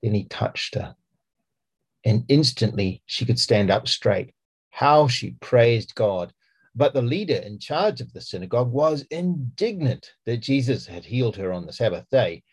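A man with a New Zealand accent, a church speaker reading scripture aloud for a podcast in a measured, expressive way. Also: mid-range voice, slightly rough, poor audio.

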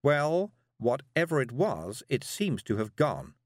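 A man doing a fussy, high-pitched lady witch. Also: very animated, slow pace, no echo, studio quality. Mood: modest, apologetic. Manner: apologetic, dithering, genteel. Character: fussy, absent-minded, timid middle-aged woman witch.

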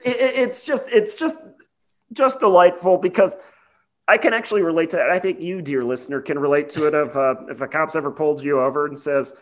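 A man co-hosting a podcast, giving sardonic, halting, profane asides in casual movie banter. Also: fairly high pitch. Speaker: podcast co-host.

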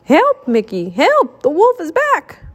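An excitable little male cartoon duck, acted by a woman reading a storybook, pitched high and swinging widely. He is fretful and mischievous, full of pleading exclamations. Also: moderate pace, heavily acted. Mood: mock alarm. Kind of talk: children's storybook character acting.

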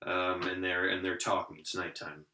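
A man talking in a dry, deadpan way for a comedy sketch. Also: low voice, normal speed, reverberant, a little hiss or hum.

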